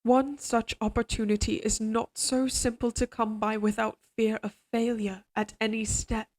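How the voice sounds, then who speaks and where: A woman voicing an obsessively devoted, quietly menacing evil queen in an audio drama, speaking in a formal, measured, imperious way. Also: a little high, little pitch movement, close to an everyday voice. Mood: controlled menace. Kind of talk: scripted dramatic audio roleplay.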